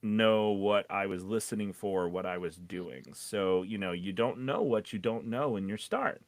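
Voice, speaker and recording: Lowish-voiced man, rambling, very dry. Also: a little slow, no room sound. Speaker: voiceover teacher. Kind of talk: casual podcast conversation.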